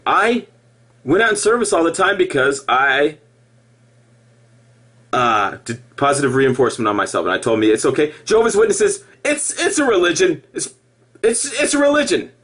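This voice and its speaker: A man giving an impassioned personal monologue to viewers, halting and repeating himself, with emphatic stresses. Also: a little high, animated, a bit bright, slightly rough. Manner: halting, repetitive, with emphatic outbursts. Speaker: former Jehovah's Witness video blogger.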